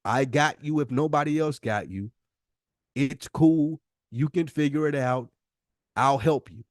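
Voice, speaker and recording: A man's voice: mid-range voice, a little slow, no room sound, studio quality. A man with an American accent speaking casually and emphatically as a podcast host, using slang and swearing. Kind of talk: casual, impassioned discussion.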